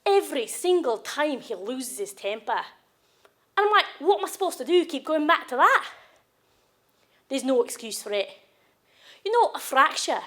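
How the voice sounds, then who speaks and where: High-voiced woman acting a wounded, furious partner. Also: full character voice. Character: wounded, angry woman raging at her abusive partner. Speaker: actress performing monologues. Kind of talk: acted audition monologue.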